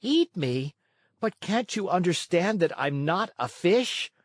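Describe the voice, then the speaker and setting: A man's voice: high-pitched, big pitch swings, slow pace, no echo, clean studio sound, pitched above the performer's own voice, full character voice. A man voicing a proud, plucky little wooden puppet boy in a children's storybook, earnest and easily indignant. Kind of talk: children's storybook read-aloud.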